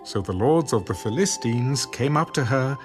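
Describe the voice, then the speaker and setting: A man's voice: medium pitch, expressive, normal speed. A man narrating scripture as a scripted, dramatic audio production. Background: music.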